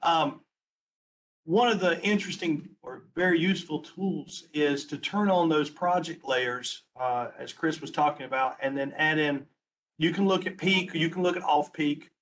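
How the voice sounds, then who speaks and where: A man presenting on a webinar as a transportation planner, losing his voice and talking haltingly, restarting his words. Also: a little high, a bit bright, a little hiss or hum, poor audio. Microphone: video call.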